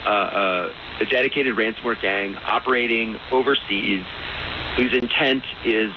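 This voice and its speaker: Low male expert, hissy radio soundbite. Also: unhurried, lo-fi sound. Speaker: cybersecurity expert. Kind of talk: interview soundbite.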